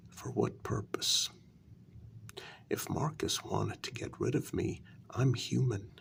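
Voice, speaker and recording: A man performs a devoted, reasoning human consort of a vampire queen in an intimate ASMR role-play. His lowish voice is thick with gravelly creak and often drops to a breathy half-whisper. He takes his time, placing each phrase deliberately with lingering pauses. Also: a bit bright, exaggerated voice. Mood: calm reasoning.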